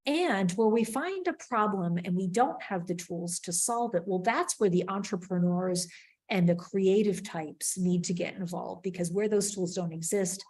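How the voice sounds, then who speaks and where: A woman's voice: fairly low pitch, darkish tone. A woman guest lecturer wrapping up her talk, fluent and direct. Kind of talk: closing a lecture.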